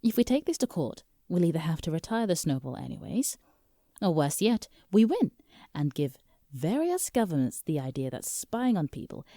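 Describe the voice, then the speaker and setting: A woman's voice: sits low, dark tone, dry recording, full character voice. A British woman playing an exasperated, businesslike lawyer in a scripted comedic audio drama, formal and dry. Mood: stern, emphatic. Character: exasperated, businesslike female lawyer for Santa Claus.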